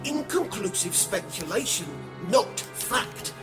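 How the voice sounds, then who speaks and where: A woman's voice: mid-range voice, animated, slow, over music. A woman playing a pedantic, literal-minded alien in a sci-fi audio drama, speaking in clipped, stilted declamation. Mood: stern, pedantic.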